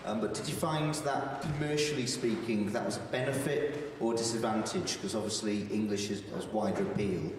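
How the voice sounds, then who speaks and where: A man with a somewhat low voice asks a careful, hesitant question from the audience. He is heard from far off in an echoing hall. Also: moderate pace, hardly any pauses, a little hiss or hum. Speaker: audience member. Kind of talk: asking a question at a Q&A. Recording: echoey hall, far from the mic.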